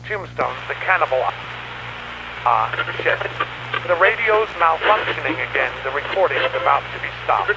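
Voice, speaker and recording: A man's voice: a little high, lively intonation, moderate pace. A man playing a grim newspaperman who records a horror investigation log in a dramatic, grave storytelling manner. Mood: agitated, startled. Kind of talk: scripted analog horror narration. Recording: noisy radio-like tape with hum.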